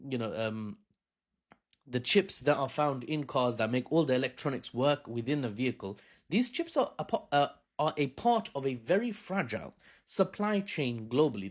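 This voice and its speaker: A man hosting a casual political commentary, animated and joking with sarcastic asides. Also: mid-range voice. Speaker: host.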